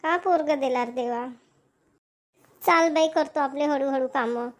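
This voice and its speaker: A woman's voice: high-pitched, measured pace. A woman with a Vidarbha Marathi accent voicing a grumbling, self-pitying older mother-in-law in an amateur cartoon. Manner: nagging, complaining.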